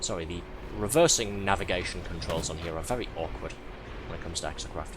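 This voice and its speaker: A man's voice: low-pitched, fast talker, noisy background. Man with a cold chatting over gameplay. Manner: chatty, joking, reads in-game text aloud. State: has a head cold. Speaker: streamer. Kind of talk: casual live gameplay commentary. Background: loud game music.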